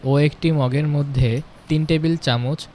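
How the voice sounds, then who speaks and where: A man's voice: fairly high pitch, steady tone, measured pace, noisy background. A man reading a scripted, story-like voice-over for a home cooking video. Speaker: home cooking channel narrator.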